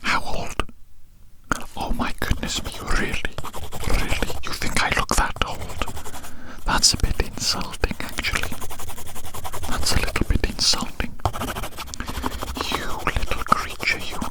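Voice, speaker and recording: A man's voice: a little slow, pauses often. A man whispering very close to the mic in a rambling ASMR roleplay, repeating phrases and putting teasing questions to the listener. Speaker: ASMR host. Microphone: very close ASMR microphone.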